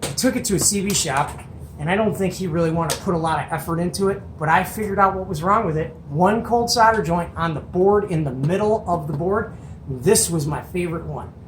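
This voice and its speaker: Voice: medium pitch, fairly quick. Enthusiastic hobbyist host in an echoey room. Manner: enthusiastic, colloquial, folksy exclamations. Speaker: host of a CB radio show-and-tell. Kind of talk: casual hands-on show and tell. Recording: echoey room with faint noise.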